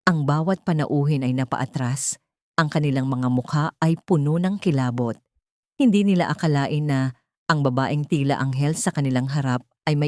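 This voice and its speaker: A woman's voice: sits low, a little mellow, no room sound, studio quality. A woman with a Filipino accent giving a dramatic scripted narration as an audiobook narrator.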